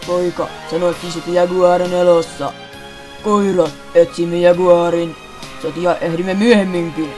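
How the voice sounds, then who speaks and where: Woman playing battle-hardened male cartoon dog, stern and menacing, forceful threats over music. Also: fairly low pitch, little pitch movement, close to an everyday voice. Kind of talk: fan-dubbed anime acting.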